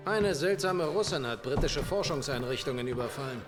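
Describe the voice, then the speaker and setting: An actor playing a cocky, defiant American soldier with a wry manner in a war game cutscene. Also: male voice, medium pitch, expressive, brisk, over music, lightly acted. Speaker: video game character in cutscenes. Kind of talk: dramatic game cutscene dialogue.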